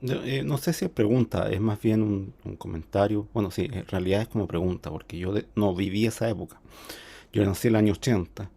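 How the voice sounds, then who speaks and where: A man in his forties with a Chilean accent, a student asking a hesitant, halting question in class. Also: fairly low pitch, creaky, dark tone. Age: 40s. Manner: hesitant, halting, many fillers and restarts.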